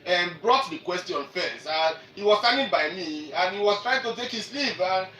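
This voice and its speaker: A high-voiced Nigerian man presents a sports radio show in an animated, emphatic manner. His tone is bright and forceful, and the sound is roomy and set back from the mic. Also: normal speed. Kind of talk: live sports discussion introducing interview clips. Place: echoey room, radio show. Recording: roomy, distant mic, faint noise.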